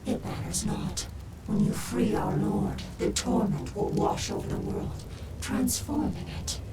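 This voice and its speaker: Game demon minion, hissing and creaky, wildly swooping pitch, servile, sinister, noisy background. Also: huge pitch swings, unhurried, full character voice. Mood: sly, reverent. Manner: servile yet goading. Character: fawning, sinister demon servant of dark god. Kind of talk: scripted video game cutscene acting.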